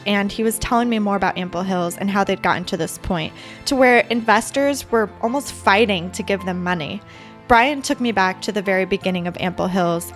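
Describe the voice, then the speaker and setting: A woman hosting a podcast, narrating from a script and interviewing guests. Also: mid-range voice.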